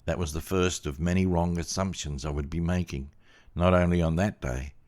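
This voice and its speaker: A man narrating a first-person story in a measured, plain-spoken way. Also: deep voice, fairly even intonation, darkish tone. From Australia.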